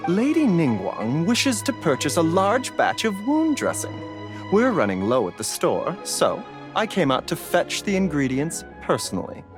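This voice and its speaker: A man's voice: a little high, huge pitch swings, moderate pace, music in the background. A man playing a courteous, wry pharmacist in a video game, with a polite lilting way of talking. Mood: mildly amused.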